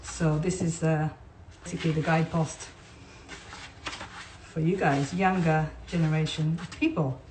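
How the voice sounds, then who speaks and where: A woman reading non-fiction aloud in a measured, deliberate way, like a writer presenting a text. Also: sits low, steady tone, darkish tone, a little hiss or hum. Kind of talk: read-aloud of non-fiction with brief informal asides. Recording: voice distant from the mic.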